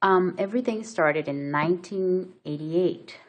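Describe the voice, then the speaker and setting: A woman's voice: fairly low pitch, unhurried. A woman from Brazil with a Brazilian Portuguese accent, telling her own story to camera in a hesitant way, full of fillers and restarts. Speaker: vlogger. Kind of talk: casual personal story to camera.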